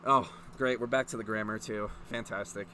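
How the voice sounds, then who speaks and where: A man's voice: fairly low pitch, fairly even intonation, normal speed, pushed and bright, slight background noise. A man doing a casual comedic reading, profane and mock-exasperated, prone to breaking into laughter as he reacts. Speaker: host of a comedic fan fiction reading.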